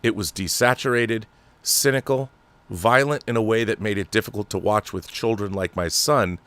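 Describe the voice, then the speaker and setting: Male film-show host, lowish pitch, emphatic conversational commentary, dry sound. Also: moderate pace, fairly bright tone, dry recording. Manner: emphatic, profane, conversational asides. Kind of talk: casual commentary and reading an article aloud.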